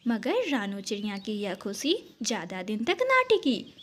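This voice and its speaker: A woman's voice: mid-range voice, wildly swooping pitch, a little slow, fairly bright tone. A woman narrating a scripted cartoon story as its storyteller.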